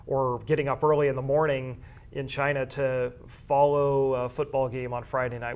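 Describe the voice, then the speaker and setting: A man with an average-pitched voice talks as a guest in an interview. He explains in a conversational way, often circling back to rephrase what he has just said. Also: gravelly. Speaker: guest, high school athletics media coordinator and broadcaster.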